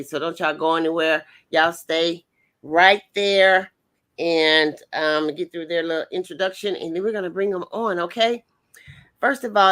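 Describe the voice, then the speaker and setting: A woman's voice: fairly low pitch, bright, forceful tone. A woman hosting a casual live music show, folksy and chatty, often addressing her audience as y'all. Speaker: host of a music spotlight show.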